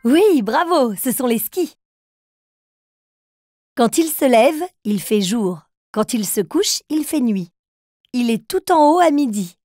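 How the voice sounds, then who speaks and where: Gentle woman reading riddles to toddlers. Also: a little high, lively intonation, takes it slowly, pauses often, slight background noise. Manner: patient and encouraging, speaks directly to young children. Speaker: narrator asking children riddles. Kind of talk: gentle scripted narration for toddlers.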